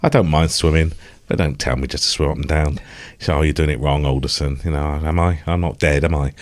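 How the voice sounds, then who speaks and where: A man's voice: extremely low pitch, fairly even intonation, brisk. A middle-aged British man co-hosting a podcast in casual nostalgic banter, sardonic and sweary, grumbling at his own expense. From United Kingdom. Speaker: podcast co-host.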